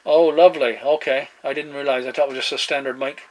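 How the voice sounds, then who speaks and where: A man in casual two-way radio chat as a hobbyist, speaking in halting phrases with radio slang. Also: medium pitch, fairly even intonation, background noise.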